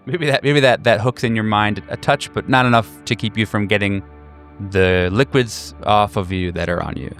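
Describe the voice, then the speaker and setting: Low-voiced male game master, music behind. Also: steady tone, a bit bright. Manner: descriptive, halting. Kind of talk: improvised tabletop game narration.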